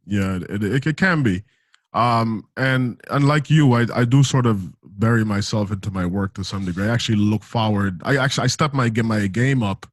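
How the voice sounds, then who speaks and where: A man's voice: fairly low pitch, no echo. A man in his thirties from Saint Lucia, hosting a podcast in a laid-back, slangy chat. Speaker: podcast host. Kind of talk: casual chat.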